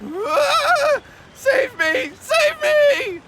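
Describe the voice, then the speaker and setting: A man's voice: very high-pitched, sing-song, very slow, heavy background noise, heavily acted. A man voicing a cowardly, scheming, anxious brother in a video game, with an earnest, pleading manner. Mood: terrified.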